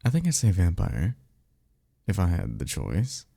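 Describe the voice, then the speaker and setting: A man's voice: low voice, wildly swooping pitch, a little slow, vocal fry, dark tone, dry recording, clean studio sound, heavily acted. A man playing a cocky, easygoing vampire mentor and former archmage in a slangy, offhand audio roleplay, teasing and swearing casually.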